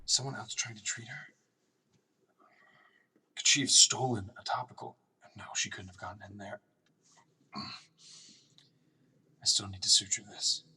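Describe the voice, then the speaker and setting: An actor in a radio drama playing an anxious, obsessive nineteenth-century doctor who mutters haltingly to himself. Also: male voice, on the low side, pushed and bright, a little hiss or hum. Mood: anxious disbelief. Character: agitated, obsessive 19th-century German male doctor. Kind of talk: radio drama acting, monologue and journal narration. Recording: distant miking, music underneath.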